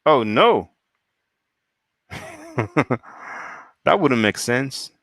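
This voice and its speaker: A man's voice: medium pitch, sing-song, extremely slow, fairly bright tone, dry recording, clean studio sound. A man from France hosting a solo live stream, reading viewer comments and asking pointed rhetorical questions.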